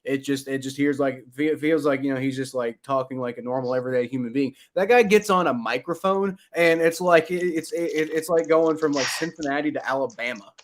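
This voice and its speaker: A man's voice: mid-range voice, a little fast, few pauses. A man with a slight Kentucky accent co-hosting a casual sports podcast, blunt and opinionated, often stammering over repeated words.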